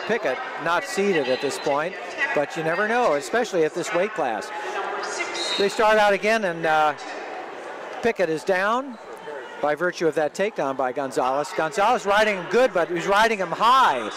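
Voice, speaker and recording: Loud crowd noise, male play-by-play announcer, higher-pitched voice, live wrestling radio commentary, explanatory, rambling. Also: lively intonation, moderate pace. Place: large indoor sports arena. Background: crowd, bouncing and thuds of a tournament.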